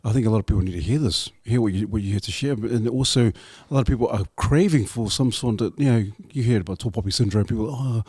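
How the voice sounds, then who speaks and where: A man's voice: on the low side, fast, hardly any pauses, a little mellow, husky, dry recording, studio quality. A man co-hosting a podcast, encouraging his guest and dropping in Māori words. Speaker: podcast co-host. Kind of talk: casual chat.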